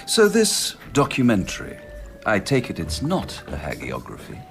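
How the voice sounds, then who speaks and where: An actor playing a dry, formal, middle-aged bookseller in a radio drama, speaking in measured, literary sentences. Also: male voice, on the low side, huge pitch swings, gravelly, fairly bright tone, soft background music, full character voice. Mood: guarded.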